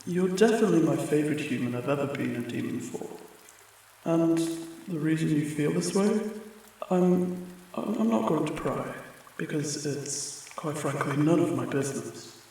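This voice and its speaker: A man playing a friendly, apologetic sleep paralysis demon in an ASMR comfort roleplay, speaking gently and haltingly, with reassurance. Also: fairly high pitch, lightly acted. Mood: chatty, fond. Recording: very echoey, distant, rain sounds behind. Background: rain.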